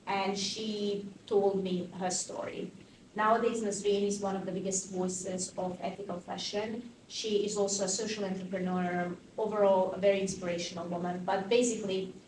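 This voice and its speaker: Croatian woman, distant in echoey room. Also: medium pitch. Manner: animated, thinking aloud with many fillers. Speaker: interview guest, fashion activist. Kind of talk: conversational interview answers. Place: conference venue. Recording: distant mic in an echoey room with faint noise.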